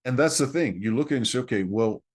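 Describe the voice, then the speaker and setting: A middle-aged man whose first language is Greek, a consultant guest in an interview, explaining things in an earnest, measured way. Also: medium pitch, animated, slightly rough. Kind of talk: interview conversation.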